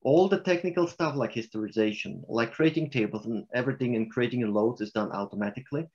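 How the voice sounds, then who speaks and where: Man, Swiss German accent, webinar presenter, halting explanations, mid pitch, muffled audio. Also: a little mellow. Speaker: software vendor presenter.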